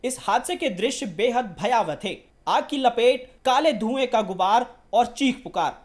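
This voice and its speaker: A woman's voice: fairly high pitch, expressive, a little slow. A woman news presenter delivering scripted news narration.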